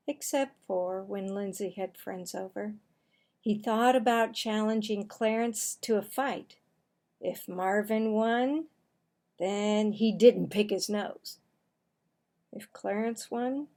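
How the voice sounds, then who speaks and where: A woman reading a children's book aloud as a storyteller, deliberate and expressive. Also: medium pitch. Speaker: storybook reader.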